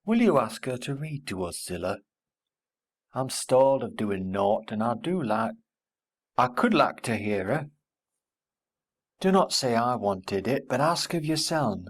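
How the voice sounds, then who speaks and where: A woman's voice: very deep voice, sing-song, a little slow, long pauses, pitched below the performer's own voice, exaggerated voice. A woman reading an audiobook, voicing an awkward young country man who talks in blunt dialect. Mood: pleading. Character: rough, awkward young rustic man, gruff and sulky.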